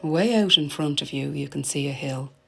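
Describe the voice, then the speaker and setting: A woman narrating a guided meditation in a soothing, gentle, deliberate manner, letting her phrases end softly. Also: deep voice, gravelly. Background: meditation music.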